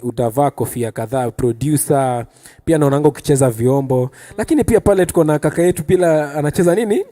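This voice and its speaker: Animated Kenyan man hosting a talk. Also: medium pitch. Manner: animated, teasing questioning, switching between languages. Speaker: talk show host. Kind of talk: casual panel chat.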